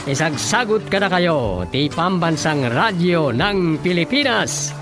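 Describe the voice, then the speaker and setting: Filipino guy announcing a radio drama, really swoopy high voice, music behind him. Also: very animated, takes it slowly. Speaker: radio drama announcer reading title and credits.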